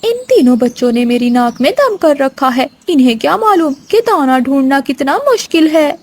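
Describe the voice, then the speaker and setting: A woman's voice: sits high, very animated, moderate pace. A woman voicing a lazy, irritable mother crow with a complaining, snappish manner in a moral-story cartoon. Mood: grumpy.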